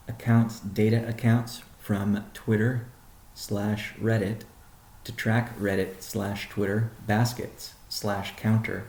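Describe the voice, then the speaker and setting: Low, gravelly man on a business call. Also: darkish tone, roomy sound. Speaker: company chief executive on a conference call. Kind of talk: answering read-out questions on a business conference call.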